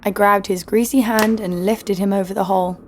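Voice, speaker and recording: A woman's voice: medium pitch, fairly even intonation, normal speed, slight background noise, lightly acted. An actress playing a tough, wry female adventurer who narrates her own story in a scripted audio drama. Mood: wry storytelling. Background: music.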